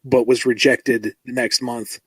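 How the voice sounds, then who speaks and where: A man's voice: mid-range voice, little pitch movement, a little slow, husky, no room sound. A man in casual podcast talk as a writer, wryly acting out quoted exchanges. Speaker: comic book writer.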